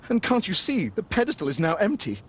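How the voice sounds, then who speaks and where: An actor playing an earnest, upper-class Victorian club gentleman in a video game, British, hesitant and formal. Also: sits high, huge pitch swings, a little fast, a little hiss or hum. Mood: shaken, insistent. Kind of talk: scripted video game acting.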